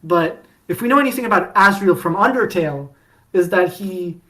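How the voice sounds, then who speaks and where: Lower-pitched woman telling a mock-dramatic story. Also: expressive, moderate pace, bright, forceful tone, rough recording. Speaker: podcast co-host. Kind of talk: casual fandom chat.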